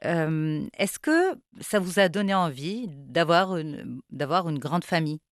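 A woman's voice: low-pitched, animated, a little slow, no room sound, clean studio sound. A woman interviewing a guest, asking questions and adding brief encouraging interjections. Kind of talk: conversational interview.

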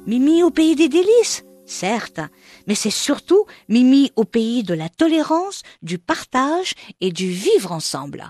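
A woman host reading a scripted introduction and asking interview questions in an expressive presenter manner. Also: high-pitched, a little slow, no room sound, faint music behind. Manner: lively, expressive presenter delivery.

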